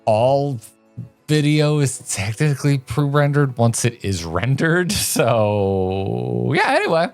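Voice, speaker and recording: A man co-hosting a video game show, talking casually in an animated, rambling and opinionated way. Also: mid-range voice, slow, vocal fry, soft background music. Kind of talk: casual chat and commentary.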